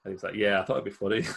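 Fast, gravelly-voiced male host. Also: fairly low pitch. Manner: quick anecdotal storytelling, laughs often. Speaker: musician. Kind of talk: casual chat.

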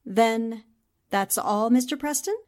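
A woman's voice: fairly high pitch, takes it slowly, a little mellow. An actress playing a determined, composed newspaperwoman who probes with sympathy, in 1940s-style radio drama. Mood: sympathetic, probing.

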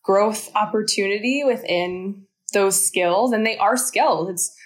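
A woman's voice: mid-range voice, takes it slowly. A woman in her thirties speaks as an interview guest. She has a mid-range voice and talks in long, flowing explanations. Her manner is earnest and encouraging. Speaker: guest, founder of a mental fitness studio. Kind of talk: interview conversation.

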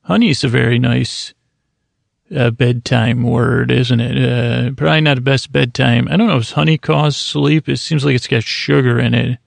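A man hosting a bedtime podcast, talking in a meandering, halting way full of fillers and self-corrections, kept deliberately slow and mild so listeners can drift off. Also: medium pitch, steady tone, vocal fry, dry recording. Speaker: podcast host. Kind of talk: rambling bedtime podcast intro.

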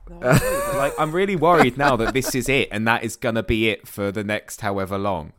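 A man's voice: fairly low pitch, a little fast, fairly bright tone, no room sound. A man in his twenties chatting casually as a podcast co-host, with a wry, teasing manner. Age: 20s. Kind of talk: casual chat.

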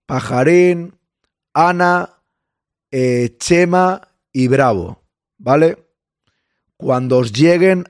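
A man with a Spain Spanish accent hosting a casual livestream, a bit hoarse, chatting with viewers and greeting them by name. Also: mid-range voice, darkish tone, no room sound, clean studio sound. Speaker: livestream host. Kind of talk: casual live chat with viewers.

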